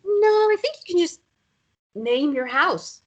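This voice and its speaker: A woman's voice: very high voice, very animated, a little slow, lo-fi sound, exaggerated voice. A woman playing a wistful, dreamy mother by the coast in a naturalistic romance drama read, understated and reflective. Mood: fond, matter-of-fact.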